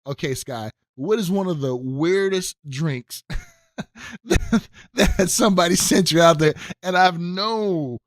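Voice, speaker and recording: Male host, slightly high voice, eager and stammery, dry booth sound. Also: animated, slow, no echo. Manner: enthusiastic, stammering restarts. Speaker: radio show host. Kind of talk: radio interview.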